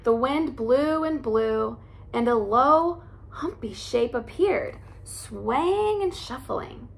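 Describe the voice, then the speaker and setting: A woman in her thirties, a teacher, reading a picture book aloud in a soft, cozy way in a living room by a fireplace. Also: fairly high pitch, lively intonation, takes it slowly, creaky.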